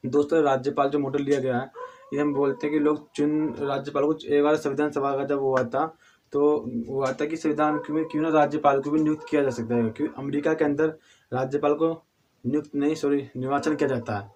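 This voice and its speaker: A hoarse man with a cold teaching a civics lesson, halting and restarting often, asking questions and answering them himself. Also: on the high side, little pitch movement, darkish tone. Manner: halting, with frequent restarts and throat clearing; addresses viewers as friends, asks and answers own questions.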